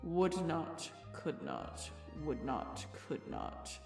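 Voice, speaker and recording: An actor playing a mournful, solemn turtle creature in an audio drama, speaking in a slow, drawn-out way. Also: mid-range voice, huge pitch swings, gravelly, heavily acted. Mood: slow, solemn, sad. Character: sorrowful old Mock Turtle, slow, sighing and solemn. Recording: roomy, distant mic, music underneath.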